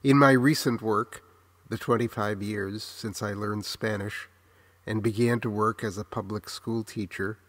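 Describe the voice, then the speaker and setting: A man in his sixties with an American accent, reading a reflective scripted memoir in a measured, literary, earnest way. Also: on the low side, steady tone, a bit bright. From Los Angeles, United States. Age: 60s. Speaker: memoir narrator.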